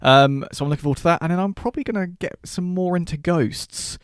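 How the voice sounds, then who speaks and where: A man's voice: high-pitched, quick pace, smooth, no echo, studio quality. A man co-hosting a film podcast, chatting informally and with enthusiasm. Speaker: podcast co-host. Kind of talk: casual chat.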